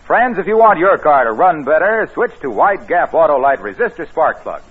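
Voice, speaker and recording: A man announcing in scripted 1940s radio style, delivering sponsor pitches and credits with a husky voice. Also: very animated, moderate pace. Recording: very muffled old radio broadcast.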